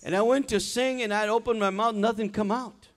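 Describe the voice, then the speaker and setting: An older man singing gospel and chatting casually from a church stage with a stand mic, his talk relaxed and full of fillers. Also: high-pitched, brisk, in a large hall. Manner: relaxed, chatty, hesitant fillers between songs. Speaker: gospel singer and keyboardist. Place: large church sanctuary stage. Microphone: stand mic at keyboard. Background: keyboard music.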